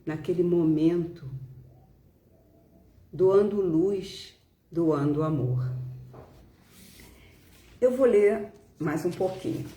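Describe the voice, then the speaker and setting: A woman with a Brazilian Portuguese accent giving a devotional talk and reading aloud, in deliberate phrases with pauses. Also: lowish voice, dark tone, roomy sound. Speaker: religious speaker leading a spiritist devotional talk.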